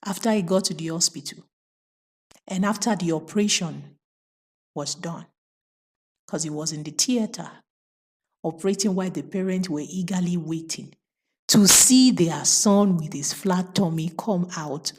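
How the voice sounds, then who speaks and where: A woman's voice: fairly low pitch, measured pace, long pauses, a little mellow, husky. A woman telling a folktale as host, emphatic and moralizing, repeating phrases for dramatic effect. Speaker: storyteller and host.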